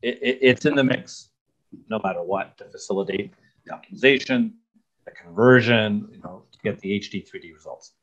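A man's voice: lowish voice, brisk, a bit bright. A middle-aged man interviewing a guest over a video call from his home office, asking stacked questions and then summing up the answers. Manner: asks layered questions, summarizes. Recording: slightly dull video call audio.

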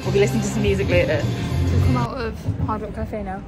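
A woman's voice: mid-range voice, fast talker. A middle-aged woman from England chatting casually in a family vlog with a child, often ending on tag questions. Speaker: family vlogger. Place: on a train, city streets and in a restaurant. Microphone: handheld phone at arm's length. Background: music.

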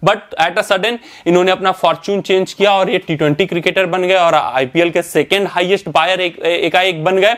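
A man's voice: sits high, steady tone, normal speed, few pauses, a bit bright. A young man presenting a cricket analysis to camera in a studio, explaining steadily with fillers and self-corrections. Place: indoor studio in front of a screen.

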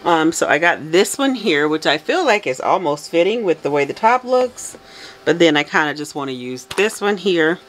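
Chatty low-voiced woman making soap. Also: very animated, normal speed. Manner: chatty, talks to herself, self-correcting. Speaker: soap maker. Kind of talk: casual crafting tutorial. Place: home craft table, indoors.

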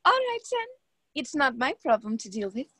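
A woman's voice: high-pitched, big pitch swings, normal speed, pushed and bright, no room sound, studio quality, heavily acted. A young woman acting in a radio play as a scheming, haughty society hostess, condescending and taunting. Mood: cold, demanding. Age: young adult.

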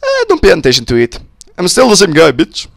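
A young man with a slightly sick voice, giving casual, excitable commentary on a co-op game with friends on a close mic. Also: high voice, fairly quick, vocal fry, no room sound. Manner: excitable, swearing, joking with friends. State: sick, voice a little off. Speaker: gameplay commentator. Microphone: close-up desk mic.

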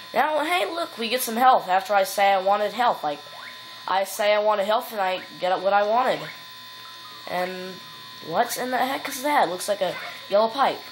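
A woman's voice: medium pitch, slight background noise, rough recording. Rambling giggly woman commenting on a game. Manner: rambling, giggly, lots of fillers and silly rhymes. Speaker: gameplay commentator. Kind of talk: casual let's play commentary. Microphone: phone filming the TV.

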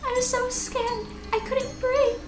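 An earnest little girl from a horror game. The pitch is extremely high and the pace slow. She is childlike, emotional and devoted, with music playing behind her. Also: level tone, reverberant. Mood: hurt, accusing. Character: young girl, earnest, pleading, devoted daughter. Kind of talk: dubbing dialogue of a horror game.

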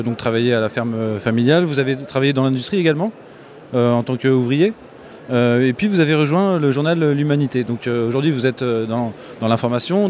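A man interviewing a guest, asking questions in a halting way full of fillers and restarts, into a handheld mic. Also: mid-range voice, darkish tone.

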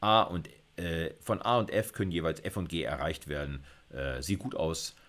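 A man's voice: low-pitched, normal speed, a bit bright. A middle-aged man giving an informal computer science lecture, thinking aloud as he codes live. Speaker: university lecturer. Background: computer keyboard typing.

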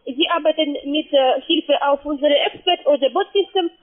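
A woman with a foreign accent, not a native German speaker, giving a halting sales pitch on the phone as a call-center agent in broken grammar. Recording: tinny, narrowband phone line.

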